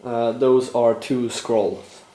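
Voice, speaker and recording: A man narrating a board game play-through, explaining rules and thinking aloud with frequent fillers, his voice going slightly hoarse. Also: medium pitch, steady tone, drawn-out words, echoey room, heavy background noise. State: losing his voice, clearing throat. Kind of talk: casual gameplay commentary.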